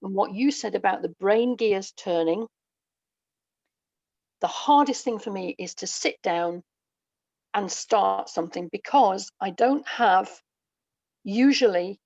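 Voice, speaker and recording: An English woman talks as an interview guest, reflective and articulate. Her voice has a marked creak. The sound is dry and somewhat dull, as on a lo-fi recording. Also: mid-range voice, lively intonation, slow, pauses often, a little mellow, dry recording. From England. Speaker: guest, writer and audio dramatist. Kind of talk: casual interview conversation.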